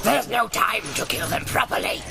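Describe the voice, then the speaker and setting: A man's voice: sits high, expressive, normal speed, gravelly, pitched above the performer's own voice. A man voicing a vicious, quarrelsome orc underling with a hissing snarl for a fantasy audiobook. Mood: impatient. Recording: loud soundscape music behind.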